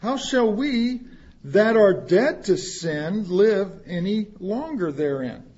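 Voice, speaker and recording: A man's voice: high-pitched, lively intonation, slow, darkish tone, husky, muffled recording. A man preaching a sermon, reading scripture aloud and explaining it in plain words, with asides to the congregation. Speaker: pastor.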